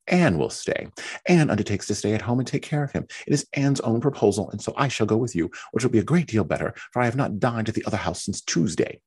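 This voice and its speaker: A man's voice: sits low, big pitch swings, fast talker, darkish tone, breathy edge, pitched above the performer's own voice, heavily acted. A man putting on a fretful, complaining young mother. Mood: eager. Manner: complaining, whiny and petulant. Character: fretful self-pitying young mother, woman.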